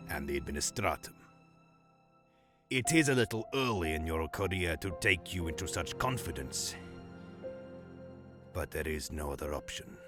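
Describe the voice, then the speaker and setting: A man playing a grave, stern senior propaganda chief in an audio drama, speaking formally and deliberately with pauses. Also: low-pitched. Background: music underneath.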